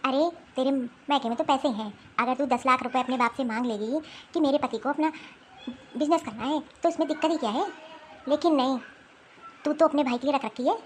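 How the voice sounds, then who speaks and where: Bullying older cartoon mother-in-law, played by a woman: very high, bossy, scornful, scolding. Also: fairly quick, slight background noise, natural voice. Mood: nagging. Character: harsh, domineering older mother-in-law who bullies her daughter-in-law. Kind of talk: Hindi cartoon family drama acting.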